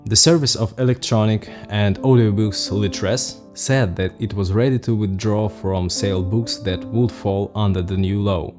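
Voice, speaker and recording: A man with a Russian accent, from Russia, narrating a scripted explainer in a measured, dry way. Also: fairly low pitch, fairly even intonation, over music. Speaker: vlogger.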